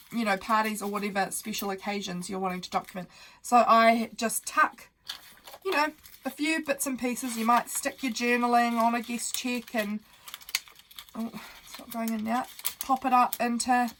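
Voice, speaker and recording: A woman's voice: fairly high pitch, fairly even intonation, moderate pace, fairly bright tone, slight background noise, low-quality recording. A young woman who sounds nasal from a cold, hosting a casual, meandering craft tutorial full of fillers while she works at her desk.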